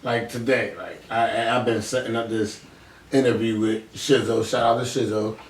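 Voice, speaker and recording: A man's voice: on the low side, steady tone, moderate pace, far from the mic, slight background noise. A man hosting a podcast, talking casually in informal, slangy language. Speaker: podcast host. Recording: echoey room, off-mic.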